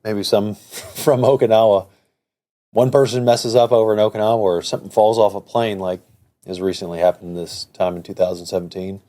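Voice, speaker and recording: A man's voice: on the low side, steady tone, a little fast, mellow. A male interview host who asks long, wandering questions and politely addresses his guest as sir. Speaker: podcast host.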